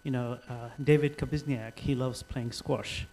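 A man's voice: mid-range voice, steady tone, moderate pace, darkish tone, a little hiss or hum, rough recording. A man hosting a group welcome, talking loosely with many fillers. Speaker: program host. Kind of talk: casual welcome remarks.

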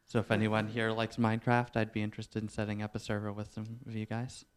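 A man from Canada introducing himself, measured and slightly hesitant. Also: fairly low pitch, completely flat delivery, smooth. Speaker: new graduate student.

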